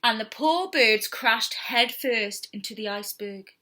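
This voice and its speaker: High, bright woman reading a children's story. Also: unhurried, slight background noise. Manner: theatrical, with whispers and sound effects. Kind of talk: expressive read-aloud storytelling for young children.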